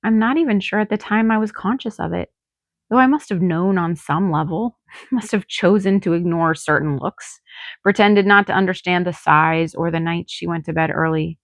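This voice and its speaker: A woman's voice: on the low side, moderate pace, no room sound, studio quality. A woman narrating a first-person novel as an audiobook, reading expressively and acting out the drama. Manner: dramatic, acts out dialogue. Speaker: author reading own novel.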